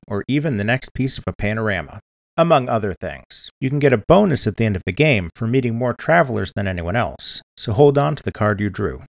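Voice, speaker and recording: Man, scripted how-to narration, step-by-step delivery, some vocal fry, very dry close recording. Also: medium pitch, dry recording. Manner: clear, methodical, step-by-step explaining. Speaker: board game tutorial presenter.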